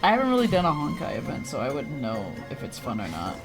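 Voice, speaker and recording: A woman's voice: rumbling low, huge pitch swings, quick pace, music in the background. A woman livestreaming a video game, chatting casually with viewers in a playful, exclamatory way and muttering to herself as she plays. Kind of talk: casual gaming chat with viewers, reacting to play.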